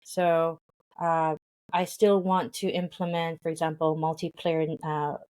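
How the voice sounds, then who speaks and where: A woman with a fairly low voice, interviewed as a company founder. She explains her plans earnestly and thinks aloud, often restarting with "uh". Her talk is steady and conversational. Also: a little slow. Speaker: founder of a language game company, guest.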